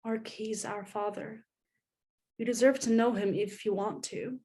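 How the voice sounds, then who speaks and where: A woman's voice: fairly high pitch, fairly even intonation, a little slow, warm tone, slightly rough, poor audio. A woman playing a caring, persistent, teasing sister in a scripted drama table read, casual and coaxing. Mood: concerned, coaxing.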